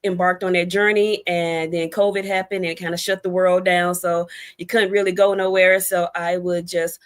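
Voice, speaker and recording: A woman's voice: fairly low pitch, little pitch movement, moderate pace. A middle-aged American woman talking casually as an interview guest, in a chatty, storytelling way with conversational asides. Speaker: guest, debut novelist.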